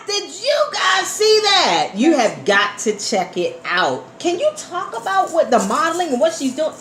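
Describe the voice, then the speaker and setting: Effusive woman radio host, very animated pitch. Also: a little slow. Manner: effusive, familiar, repeats phrases for emphasis, addresses listeners as honey. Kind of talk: casual live interview chat. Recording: echoey room with background noise.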